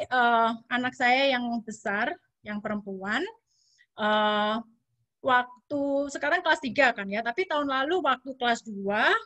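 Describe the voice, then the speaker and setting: A woman's voice: fairly high pitch, bright, forceful tone, dry recording. A woman in her thirties with a Javanese accent, chatting casually as a webinar guest speaker and mixing in English words. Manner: chatty, mixes in English words, many fillers.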